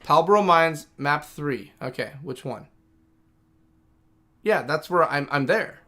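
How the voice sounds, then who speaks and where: A man's voice: a little high, lively intonation, takes it slowly, pushed and bright. A man in his thirties streaming a game, thinking aloud in a rambling, chatty way. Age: 30s. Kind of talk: casual livestream chat.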